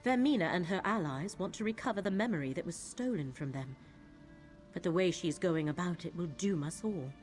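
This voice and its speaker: An actress playing a grave, troubled woman mystic in a fantasy video game, speaking in a measured, formal way. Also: lowish voice, expressive, darkish tone, soft background music, lightly acted. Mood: solemn warning. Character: grave, troubled woman mystic serving an eldritch fate god.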